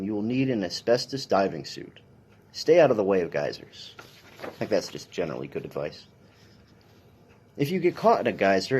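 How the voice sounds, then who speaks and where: A man's voice: fairly low pitch, darkish tone, husky. A man, a police officer, reading a children's science picture book aloud in an instructional way with brief dry asides.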